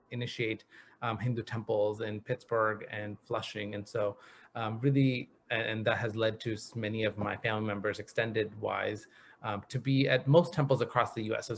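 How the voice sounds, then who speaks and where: A man speaking on a video panel, thoughtful and reflective, often pausing to find the right words. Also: lowish voice, a little hiss or hum. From grew up in the US Northeast. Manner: thoughtful, searching for words, frequent restarts. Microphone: video call.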